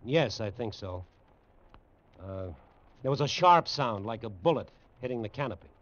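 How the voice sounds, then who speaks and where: An actor playing a bewildered human pilot in an old radio drama, halting and incredulous as he questions. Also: mid-range voice, animated, lightly acted. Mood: worried, questioning. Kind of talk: 1950s radio science fiction drama acting. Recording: dull old radio recording.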